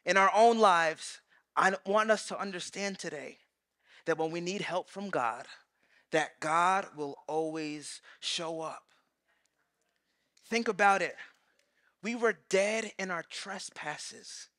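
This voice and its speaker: Pastor, man, 30s, lively stage sermon; high, bright tone, dry close mic. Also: slow pace, pauses often, no room sound. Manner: animated, acts out quoted voices, swings loud and soft. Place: large church stage.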